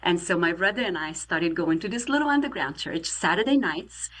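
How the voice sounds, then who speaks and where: Romanian-accented woman guest, middle-aged, slightly low voice, earnest steady storyteller. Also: a little fast. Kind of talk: testimony interview.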